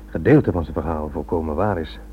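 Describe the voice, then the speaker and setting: An actor in a Dutch radio drama playing an evasive, defensive police inspector. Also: male voice, a bass voice, fairly quick. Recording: dull, noisy old radio recording with hum.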